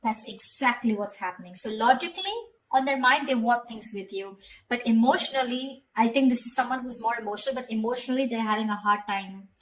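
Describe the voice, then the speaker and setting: A woman's voice: a little high, brisk, slight background noise, low-quality recording. A woman giving a conversational tarot reading straight to the viewer, often repeating phrases and checking in with short tag questions. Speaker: tarot reader.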